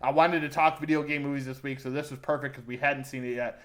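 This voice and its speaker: A man's voice: mid-range voice, steady tone, fast talker. A man co-hosting a podcast, giving an informal, opinionated film review in a conversational way. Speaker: movie podcast co-host. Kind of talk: casual film review chat.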